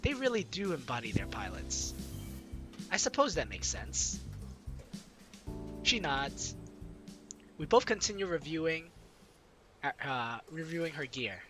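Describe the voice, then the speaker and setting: A let's play host, a man with a fairly high voice that moves around a lot in pitch. He reads a visual novel aloud and drops in casual, giggly asides. Faint game music plays behind him. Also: very animated, measured pace, pauses often. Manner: breaks into giggles and asides while reading.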